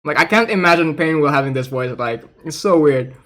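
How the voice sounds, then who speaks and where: A casual game streamer with a medium-pitched voice. The delivery is informal and amused, like relaxed reaction chat on a livestream. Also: brisk.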